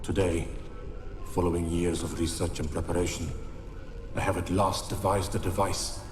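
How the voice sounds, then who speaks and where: An actor playing a solemn, obsessive older scholar dictating a research journal in a fantasy game, in a measured, formal, grave manner. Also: male voice, low-pitched, little pitch movement, music in the background, natural voice. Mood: solemn, resolute. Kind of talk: video game character acting, recorded journal. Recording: echoey, noisy recorded-journal sound.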